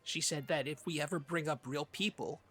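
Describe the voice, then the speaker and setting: A man's voice: a little high, a little fast, pushed and bright, breathy edge. A man playing a flustered, conflict-avoiding small-town podcast co-host, stammering and trailing off in fussy comedy chat. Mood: hesitant, nervous.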